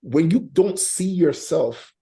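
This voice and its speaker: A man's voice: a little high, animated, takes it slowly, mellow. A Canadian man talking as a podcast guest, rambling and often asking "you know what I'm saying". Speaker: guest artist. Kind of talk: casual podcast interview.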